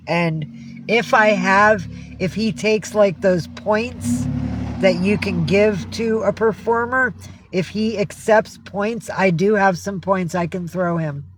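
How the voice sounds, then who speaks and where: A woman's voice: medium pitch, a little hiss or hum. A woman hosting a casual livestream from home, talking in a rambling, blunt and sweary way. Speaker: livestream host. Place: indoors at home with cats.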